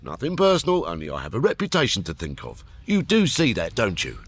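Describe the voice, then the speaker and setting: A man's voice: lowish voice, huge pitch swings, a little fast, husky, full character voice. An actor playing a menacing alien barman, a sardonic, bullying heavy in a radio comedy. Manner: threatening, sardonic, emphatic. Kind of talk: radio comedy drama acting.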